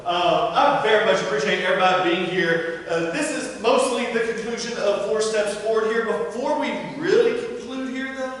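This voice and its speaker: A man's voice: high-pitched, normal speed, hardly any pauses, a little hiss or hum. A man hosting a stage event with chatty, informal announcements, often repeating short phrases. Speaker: event host. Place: indoor stage before an audience. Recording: echoey hall, distant mic, loud crowd behind.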